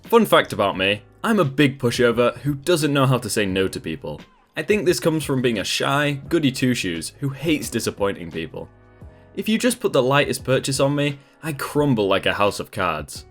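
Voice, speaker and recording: Lively male storytime narrator. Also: mid-range voice, moderate pace. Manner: lively, expressive reading. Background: faint background music.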